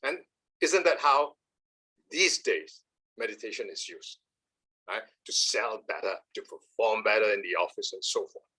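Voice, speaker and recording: A man, giving an animated, emphatic talk on meditation and often checking in with "all right?". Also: fairly low pitch, moderate pace, slightly rough, rough recording. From Malaysia. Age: 70s. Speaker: speaker on meditation. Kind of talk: talk with slides.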